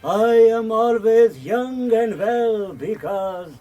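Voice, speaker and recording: A man's voice: sits high, animated, slow pace, warm tone, dull audio. An actor playing an unctuous holy man in a radio comedy, solemn and beatific. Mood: serene, pious. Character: serene, unctuous Indian guru, self-styled holy man. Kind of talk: British radio comedy drama acting.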